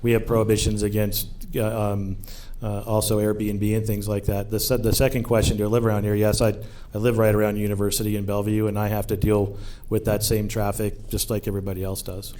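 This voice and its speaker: A man representing a developer answers questions at a public meeting in a measured, matter-of-fact way. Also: fairly low pitch, completely flat delivery, warm tone. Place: school community meeting room.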